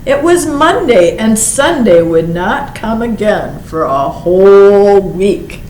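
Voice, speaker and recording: A woman reads a picture book to children at a slow, measured pace. She is expressive, stretching out words and slipping in short asides. The recording is roomy and echoey, with faint noise behind her. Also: medium pitch. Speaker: library storytime reader.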